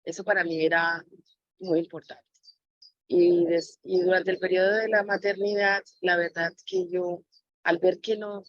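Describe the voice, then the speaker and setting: A woman's voice: fairly low pitch, dry recording, highs cut off. A woman speaking reflectively as an interviewee, sharing personal experience as a mother. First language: Spanish.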